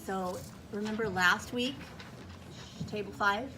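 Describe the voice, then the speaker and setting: A woman's voice: mid-range voice, slow. A woman teacher instructing young children in a classroom, giving directions, repeating them and asking lots of questions. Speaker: primary school teacher. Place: school classroom. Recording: noisy classroom recording. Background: classroom noise.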